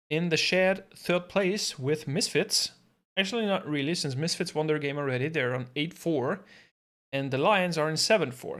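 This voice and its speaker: A man's voice: fairly high pitch, fairly bright tone. A man giving casual live commentary on an esports match from his home setup, thinking aloud and adding self-deprecating asides. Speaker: esports co-streaming caster.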